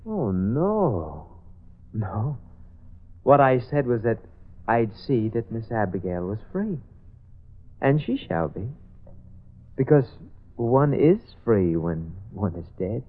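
A man playing an insane, cruel killer in a 1940s radio drama, speaking with slow, mocking menace, on a lo-fi old radio recording. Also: mid-range voice, very animated. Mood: menacing. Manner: cold, mocking, deliberate menace. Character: insane scheming killer, older brother, cold and cruel.

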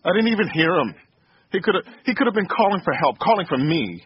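Grieving, guilty father for radio play: slightly high, halting, very animated, lo-fi sound. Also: slight background noise. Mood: guilt-ridden. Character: guilt-ridden, grieving husband and father, workaholic. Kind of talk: naturalistic radio drama acting.

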